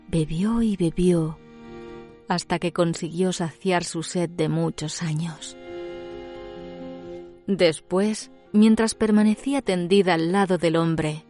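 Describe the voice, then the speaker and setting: A woman narrating a literary tale as a storyteller, in a measured, dramatic scripted delivery. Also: fairly low pitch, warm tone.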